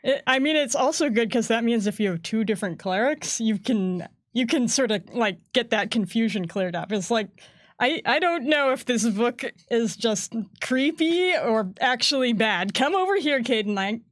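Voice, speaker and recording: A woman with a somewhat high voice talks tabletop rules in relaxed chat. She laughs often and slips into quick put-on voices. The sound is close and dry, with no room around it. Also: dry recording. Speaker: game design staff co-host. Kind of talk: casual chat about game rules.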